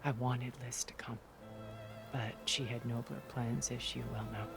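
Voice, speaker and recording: Poised, cultured older magnate, music behind. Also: female voice, rumbling low, steady tone, vocal fry, full character voice. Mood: measured, regretful. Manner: measured, refined, eloquent. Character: poised, cultured older female tech magnate, secretive, wistful. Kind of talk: video game cutscene acting.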